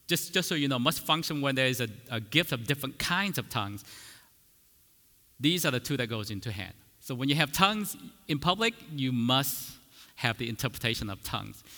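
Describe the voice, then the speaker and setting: A man's voice: medium pitch, sharp tone, in a large hall. A man whose first language is Vietnamese, preaching a teaching sermon earnestly, restarting phrases and using fillers as he explains.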